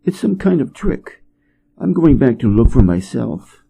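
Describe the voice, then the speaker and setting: A man's voice: mid-range voice, sing-song, unhurried, creaky, dark tone, slightly rough, heavily acted. A man voicing a cocky, boastful monkey king in a storybook reading, brash and indignant. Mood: defiant. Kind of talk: storybook character voice.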